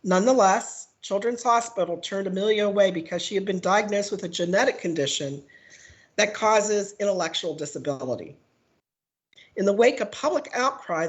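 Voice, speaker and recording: Hoarse woman giving testimony. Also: lowish voice. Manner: measured, read-out delivery with frequent "um". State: hoarse from a cold. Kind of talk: prepared testimony at a legislative hearing. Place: legislative committee room.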